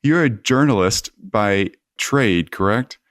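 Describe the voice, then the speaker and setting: Man, lowish voice, dry close sound, casual podcast interviewer, informal phrasing. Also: animated, slow pace, fairly bright tone, no echo.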